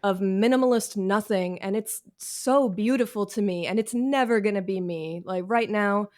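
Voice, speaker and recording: A woman's voice: medium pitch, moderate pace, darkish tone. Candid woman with wry self-deprecation. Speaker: guest author. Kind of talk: conversational interview answers. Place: cluttered room at home, on a video call. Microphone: video call.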